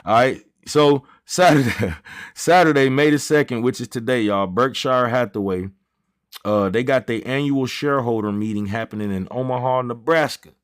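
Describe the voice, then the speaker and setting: A man's voice: medium pitch, normal speed, smooth. A man in his thirties hosting a trading livestream, talking casually in loose slang and drifting off topic as he chats with viewers. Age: 30s. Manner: loose slangy banter, easily sidetracked. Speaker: trading stream host.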